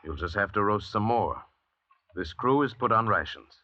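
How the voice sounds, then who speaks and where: Cold superior convict doctor in old radio drama, very deep forceful voice, dull vintage recording. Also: male voice, animated, slight background noise. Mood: stern, controlled. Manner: measured, superior, pedantic. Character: cold, commanding convict doctor, condescending ringleader.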